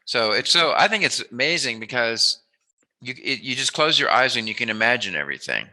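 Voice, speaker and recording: A man's voice: mid-range voice, animated, a little fast, sharp tone, poor audio. A man hosting a casual interview, chatting in an informal, enthusiastic and rambling way. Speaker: interview host.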